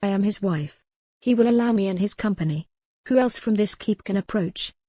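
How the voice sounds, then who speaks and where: A woman's voice: medium pitch, a little fast, mellow, no room sound, studio quality, lightly acted. A woman voicing a remorseful yet determined young noblewoman in a historical romance audiobook. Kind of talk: dramatic audiobook character reading.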